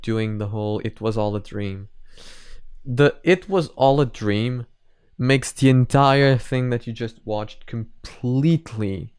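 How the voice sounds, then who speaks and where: Man, mid-pitched, smooth clear voice, casual reaction commentary, hesitant, thinking aloud. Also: a little mellow, dry recording, studio quality. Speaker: reaction video host.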